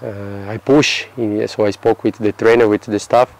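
A man in his thirties from Brazil, answering interview questions in halting English with a Brazilian Portuguese accent and many restarts. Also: fairly low pitch, fairly even intonation, vocal fry, a little mellow. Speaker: football player. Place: outdoor terrace by a yellow building.

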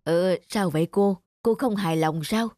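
A woman voicing a gentle, humble old grandfather, a silversmith, in an animated moral story, speaking in a reflective way. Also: fairly low pitch, normal speed, noisy background, lightly acted. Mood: friendly.

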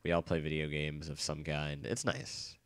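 A young man with a bad cold and a nasal, stuffy voice, chatting casually and rambling excitedly while playing a game. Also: very deep voice, moderate pace, clean tone, no echo. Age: young adult. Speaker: let's play gamer. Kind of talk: casual gameplay commentary. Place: dorm room.

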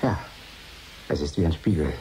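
An actor playing a troubled, withdrawn man in a radio drama, speaking a brooding, halting inner monologue. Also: very deep voice, lively intonation, mellow. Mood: haunted, withdrawn. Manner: halting, brooding, fragmentary phrases. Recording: faint hiss.

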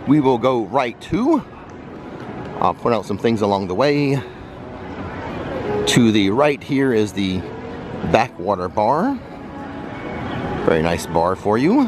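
A man's voice: fairly low pitch, very animated, slow pace, pauses often. A man narrating an unscripted walking tour as a vlogger and guide, speaking directly to viewers and pointing out sights. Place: outdoors, walking through a busy theme park. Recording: outdoor ambient noise of busy park.

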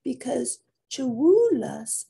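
Gushing storybook kitten sister, a woman's put-on voice: childlike, teasing, raised pitch, unhurried singsong. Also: big pitch swings, creaky, warm tone, breathy edge, exaggerated voice. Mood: matter-of-fact. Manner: singsong, matter-of-fact childlike replies.

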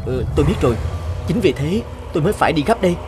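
Fairy-tale cartoon fisherman, male actor: young, earnest, kind, highish and very lively. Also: racing, over music, lightly acted. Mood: hurried, resolute. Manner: expressive, earnest. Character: kind, hardworking young fisherman-farmer, anxious but steady. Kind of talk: animated fairy-tale dubbing.

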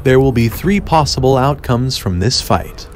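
A man's voice: medium pitch, expressive, moderate pace, over music. A man narrating a scripted video game walkthrough in a matter-of-fact, instructional way. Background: game ambience.

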